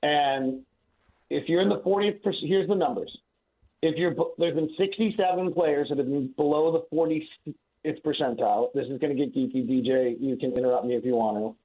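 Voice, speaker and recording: A man's voice: fairly high pitch, fairly quick. A man giving conversational sports analysis on the radio as a guest, emphatic and argumentative, repeating himself for stress. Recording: tinny phone-like line.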